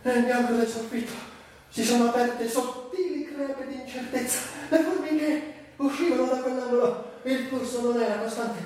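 Tormented, delirious addict, drenched in echo. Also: male voice, very high-pitched, fairly even intonation, normal speed, darkish tone. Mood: obsessive, agitated. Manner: histrionic, swinging between brooding narration and cries. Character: tormented delirious male addict, raving between life and death. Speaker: actor and director. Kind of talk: theatrical radio monologue from a novel. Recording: very echoey, distant, faint music behind.

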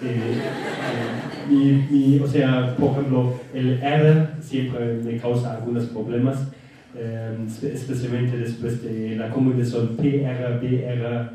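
Slow German-accented man in echoing hall. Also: medium pitch, flat delivery, warm tone. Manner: halting, many fillers, self-deprecating. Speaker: guest speaker. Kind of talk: conference talk. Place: large auditorium. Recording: echoey hall from far off.

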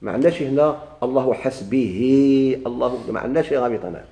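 A man with a Moroccan Arabic accent teaching Arabic grammar. He explains slowly, repeats and stretches key words, and puts questions to his students. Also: mid-range voice, mellow. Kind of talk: recorded grammar lesson. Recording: echoey classroom recording.

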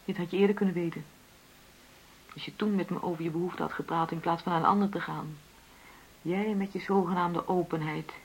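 An actress playing a hurt, argumentative middle-aged wife in a Dutch radio play, with a pointed, insistent way of questioning. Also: female voice, on the low side, steady tone, a little fast, a little hiss or hum, lightly acted, rough recording. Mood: insistent reproach.

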